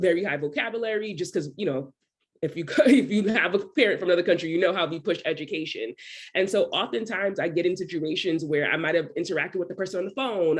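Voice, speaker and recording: A woman's voice: on the low side, slightly rough. A woman with a New York accent speaking as a panelist, earnest and reflective, laughing between points. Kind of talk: candid panel talk.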